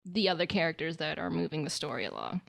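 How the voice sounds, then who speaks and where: A woman's voice: low-pitched, expressive, quick pace, creaky, fairly bright tone. A woman co-hosting a podcast, chatting casually and rambling, with lots of fillers and laughter. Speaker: podcast co-host. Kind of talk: casual anime discussion.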